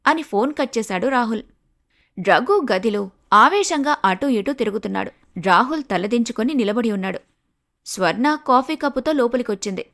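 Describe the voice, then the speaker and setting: High-pitched woman narrating a story. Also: lively intonation, moderate pace, fairly bright tone.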